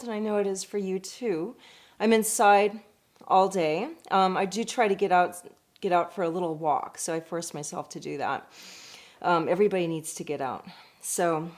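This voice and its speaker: A woman reading a picture book aloud in an expressive, deliberate way, with chatty asides. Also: mid-range voice. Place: indoors at home.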